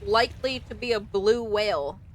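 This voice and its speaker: A woman streamer giving casual, expressive let's play commentary as she reacts to a game. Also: mid-range voice, normal speed, a little hiss or hum.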